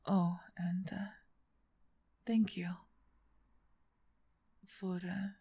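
Woman acting a husky, slow-spoken injured half-orc woman. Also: lowish voice, little pitch movement, exaggerated voice. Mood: polite, amused. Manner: hesitant, soft-spoken, halting with pauses. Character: big strong injured half-orc woman, gentle and grateful. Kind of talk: ASMR roleplay acting.

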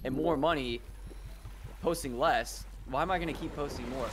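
A man's voice: mid-range voice, normal speed, fairly bright tone. A man who makes videos, talking casually during a game, chatty and jokingly boastful. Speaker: guest content creator. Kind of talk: casual chat while gaming. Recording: noisy mic with background hiss.

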